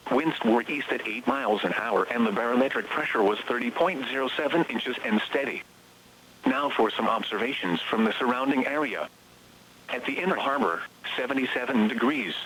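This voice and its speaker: A man's synthetic voice reading scripted automated weather bulletins in a flat, measured way, as heard on a weather radio broadcast. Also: medium pitch, a little hiss or hum. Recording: weather radio broadcast, slightly muffled sound.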